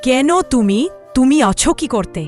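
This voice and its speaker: A woman's voice: sits high, measured pace, darkish tone, soft background music. A woman voicing a domineering older mother-in-law in a cartoon, bossy, cutting and condescending. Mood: scornful, scolding. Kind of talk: dramatic Bengali cartoon voice acting.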